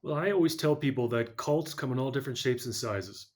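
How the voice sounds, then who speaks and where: A man speaking as a podcast guest, telling a personal story in an earnest, measured way. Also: mid-range voice, a little mellow.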